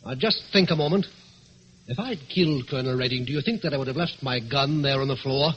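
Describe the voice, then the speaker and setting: An actor playing a suave, quick-witted gentleman thief turned sleuth in a radio drama, polite, composed and persuasive. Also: medium pitch, lightly acted. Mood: urgent, pleading. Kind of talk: old radio drama acting. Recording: dull lo-fi radio recording, faint hiss.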